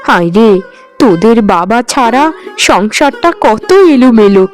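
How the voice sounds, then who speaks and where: A woman's voice: fairly high pitch, lively intonation, measured pace, soft background music. A woman playing a poor, careworn, tender mother in a cartoon, speaking in a plaintive, sighing, affectionate way. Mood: wistful. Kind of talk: animated Bengali folk-tale cartoon acting.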